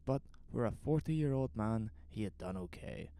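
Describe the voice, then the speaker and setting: A man's voice: fairly low pitch, animated, moderate pace, warm tone, clean tone. A man narrating a scripted horror story, with ambient sound effects behind him.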